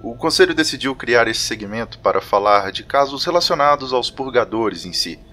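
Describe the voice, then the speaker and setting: Earnest male councillor, mid-pitched, acted by a man. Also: animated, moderate pace, sharp tone, soft background music. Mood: serious, measured. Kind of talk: audio drama acting. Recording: faint noise and low rumble.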